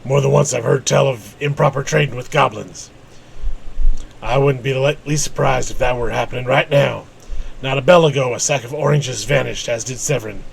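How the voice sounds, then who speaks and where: Man reading as a stern creaky old captain. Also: medium pitch, fairly quick, poor audio. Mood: stern, aggrieved. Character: stern old captain, aggrieved and distrustful, fantasy game.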